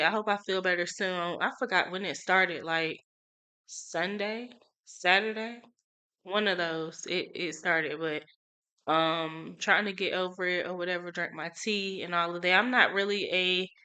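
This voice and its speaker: A young woman hosting a casual solo podcast, chatty and relaxed with many fillers, a little stuffy from a cold. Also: on the low side, clean tone. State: congested from a cold. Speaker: podcast host.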